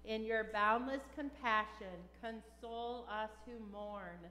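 An older woman, a pastor, reading solemn liturgy and scripture at a funeral in a measured, deliberate way. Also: a little high, pushed and bright. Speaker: pastor leading a funeral service. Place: large church sanctuary, indoors. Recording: echoey church with long reverb.

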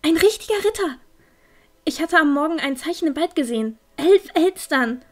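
Very high, earnest teenage village girl played by a woman. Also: expressive, moderate pace. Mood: eager. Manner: earnest, youthful. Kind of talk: fantasy audio drama acting.

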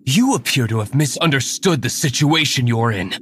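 A man's voice: mid-range voice, expressive, normal speed, background noise, close to an everyday voice. A man voicing a stoic young nobleman hero in a video game, composed, curt and formal. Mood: cold threat.

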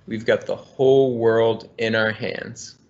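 Um homem de uns trinta anos, engenheiro ambiental, lendo um livro infantil em voz alta, de forma amigável e cadenciada, numa chamada de vídeo. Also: male voice, on the low side, level tone, takes it slowly, a little mellow. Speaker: environmental engineer. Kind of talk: reading a children's book aloud. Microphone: laptop webcam on video call. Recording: muffled video call audio.

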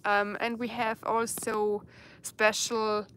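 A woman's voice: on the high side, little pitch movement, slow, fairly bright tone, a little hiss or hum. A woman in her thirties with a German accent, reading viewer comments on a live stream at night in the forest, searching for words in English. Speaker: bushcraft vlogger. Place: outdoors in a dark forest at night by a campfire.

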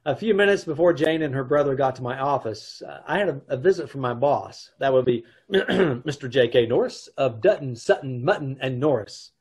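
A middle-aged actor playing a wry, wisecracking husband who narrates and acts in an old-time radio comedy over a video call. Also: male voice, medium pitch, animated, moderate pace, a little mellow, slightly rough. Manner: dry, wry.

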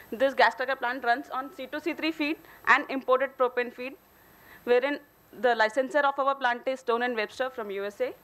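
A woman's voice: sits high, a bit bright. A woman with an Indian accent giving a formal technical presentation at a conference. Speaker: company engineer presenting a case study. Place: hotel conference hall, at a podium on stage.

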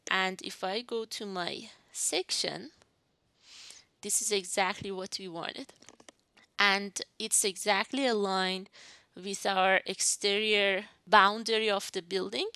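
A woman explaining a software tutorial step by step. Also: medium pitch, steady tone, measured pace, smooth, no room sound. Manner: step-by-step explanatory, thinking aloud. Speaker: instructor. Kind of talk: screen-recorded software tutorial. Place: indoors at a desk.

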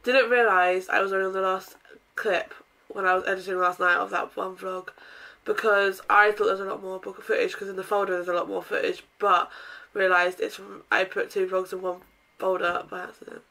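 A young woman vlogging casually from her bedroom, with a croaky voice from a sore throat, chatting in a rambling way with lots of ums and throat clearing. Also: medium pitch, level tone, a little fast, pushed and bright, low-quality recording. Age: young adult. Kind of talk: casual day-in-my-life vlog. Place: bedroom, indoors.

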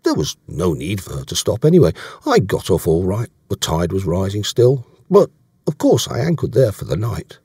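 A man's voice: low voice, wildly swooping pitch, normal speed, mellow, slightly rough, no echo, clean studio sound, full character voice. A man voicing an earnest, awkward English yachtsman in an audiobook, answering in a short, dogged, defensive way. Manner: short, defensive, offhand replies.

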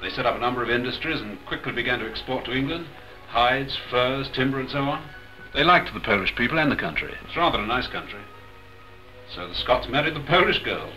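An actor in his thirties playing a polite, modest Polish Air Force flight lieutenant who speaks crisp, careful English, in a 1940s film. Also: mid-range voice, expressive, a little fast, sharp tone, rough recording. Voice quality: crisp, well-spoken English. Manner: modest and courteous. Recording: 1940s film soundtrack with music behind.

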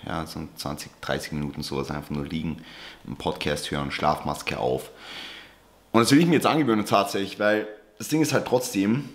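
A man's voice: sits low, a little fast. A man in his twenties chatting casually in a home vlog as a fitness coach, dropping English gym jargon into his speech. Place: home kitchen and dining area.

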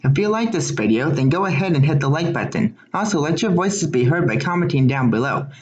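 Animated man reading a scripted outro. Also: a little high, fairly quick. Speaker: video creator giving outro.

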